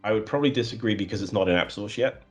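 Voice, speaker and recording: Low low voice guy, pretty monotone, lots of ums and restarts, just chatting about his work. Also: very fast, over loud music. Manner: self-described flat, monotonous voice; many fillers and restarts. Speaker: guest, custom visual developer. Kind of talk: conversational interview.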